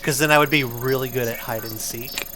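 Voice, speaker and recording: A man talks casually over gameplay with clearly audible game music behind him. His voice sits at a middle pitch. He explains things patiently and playfully, as the conversational host of a gaming video. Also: fairly even intonation, fairly quick.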